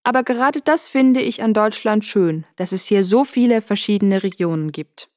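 A woman from Bavaria, with a Bavarian accent and a middle-pitched voice, speaks calmly and reflectively. Her voice sounds close and dry. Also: normal speed, no echo. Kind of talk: scripted monologue.

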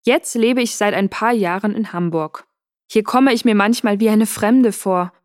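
A woman from Bavaria with a Bavarian accent, speaking a calm, reflective monologue. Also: mid-range voice, normal speed, no echo, studio quality. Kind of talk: scripted monologue.

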